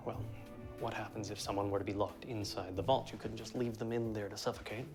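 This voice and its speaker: An actor as a defensive, anxious bank manager in a period TV drama. He talks fast and formally in a low male voice. Music is clearly heard behind him. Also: level tone, lightly acted. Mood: anxious confession. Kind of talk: television period crime drama acting.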